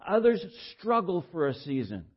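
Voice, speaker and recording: An older man with a baritone voice preaching informally, halting and repeating words as he shares personal stories in a conversational way. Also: sing-song, slightly rough, highs cut off. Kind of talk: informal sermon with personal anecdotes.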